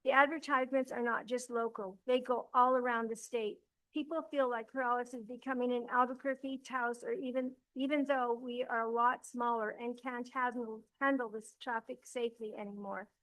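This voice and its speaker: An older woman giving a public comment at a village council meeting, earnest and halting, stopping to correct herself. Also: on the high side, fairly even intonation, a bit bright, rough recording. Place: council chamber.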